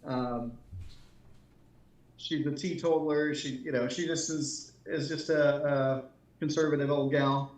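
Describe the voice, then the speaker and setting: A man's voice: on the high side, steady tone, measured pace, pauses often. A man co-hosting a casual chat, speaking hesitantly and building his thoughts in short pieces. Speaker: show co-host and writer. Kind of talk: casual chat, describing characters. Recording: roomy, echoing sound.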